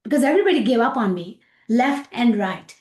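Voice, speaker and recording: A middle-aged woman with a Pakistani accent, an interview guest talking about her work with animated repetitions and fillers. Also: on the high side, brisk, roomy sound. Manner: animated, repeats words, frequent fillers, laughs easily. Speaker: rocket scientist guest. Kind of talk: casual interview chat.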